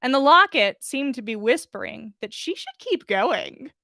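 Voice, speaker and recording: A woman hosting a podcast, speaking in an expressive, casual storytelling style. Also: high voice, measured pace, dry recording, studio quality. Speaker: podcast host reading fan fiction. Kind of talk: expressive story reading and casual livestream chat.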